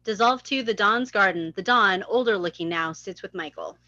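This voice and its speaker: A woman's voice: mid-range voice, normal speed, pushed and bright, no room sound, highs cut off. A woman reading stage directions and lines aloud in a script table read. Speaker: script reader.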